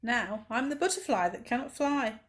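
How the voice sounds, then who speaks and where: A woman's voice: on the high side, normal speed, fairly bright tone, close to an everyday voice. A woman voicing a sad young butterfly who cannot fly, plaintively, for a children's storybook. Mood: forlorn. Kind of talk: children's storybook read-aloud.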